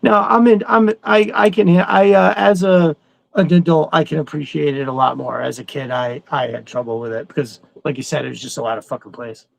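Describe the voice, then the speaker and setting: A man's voice: a little high, steady tone, brisk, vocal fry, rough recording. A man co-hosting a live stream in a casual, enthusiastic and agreeable way. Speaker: regular live stream co-host. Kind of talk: casual fan chat.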